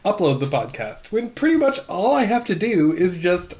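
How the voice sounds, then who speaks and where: A man's voice: sits high, very animated, moderate pace, darkish tone. A man co-hosting a podcast in a laid-back casual chat, laughing often and chiming in with short replies. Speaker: podcast co-host.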